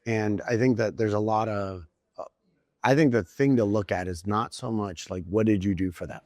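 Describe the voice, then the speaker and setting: Low-voiced rambling podcast host. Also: male voice, moderate pace, a little mellow, no room sound. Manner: rambling, hedging with kind of and I mean. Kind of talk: podcast interview.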